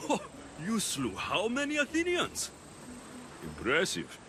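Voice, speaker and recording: A man's voice: high-pitched, wildly swooping pitch, a little slow, music in the background, full character voice. An actor playing a battle-hardened Greek mercenary who is a doting, playful young father in a video game drama. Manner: playful, sing-song when talking to his baby.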